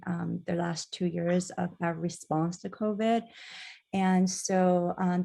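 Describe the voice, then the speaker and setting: A woman from Iran giving an online talk as a guest speaker, speaking softly and hesitantly with many fillers. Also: on the low side, gravelly. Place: at home on Kauai, video call.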